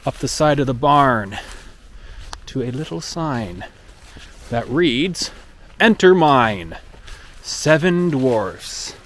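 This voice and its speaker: Man host, middle pitch, hammy storyteller tour, faint noise outdoors. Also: animated, slow pace, pauses often, a bit bright. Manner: theatrical, storybook-like exclamations. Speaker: host giving a guided walk-through. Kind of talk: playful narrated tour. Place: outdoor trail at night.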